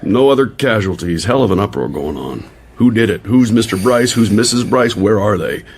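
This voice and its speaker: Blunt slangy american agent in a radio play, excitable, some background noise. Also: male voice, on the low side, normal speed. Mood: agitated, blunt. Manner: punchy, slangy, exclamatory. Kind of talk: radio drama acting.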